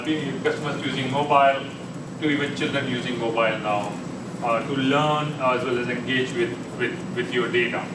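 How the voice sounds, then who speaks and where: A man giving a conversational tech presentation at a meetup, often restarting his sentences. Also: medium pitch. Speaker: conference speaker. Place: meeting room. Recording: echoey room, distant mic, air conditioning hum.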